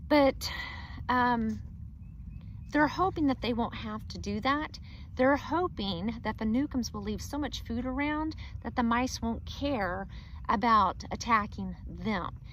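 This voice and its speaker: A woman teacher reading a chapter book aloud to students, pausing to ask them questions. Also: fairly high pitch, slight background noise, rough recording. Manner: expressive, asks students questions. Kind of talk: read-aloud lesson for children.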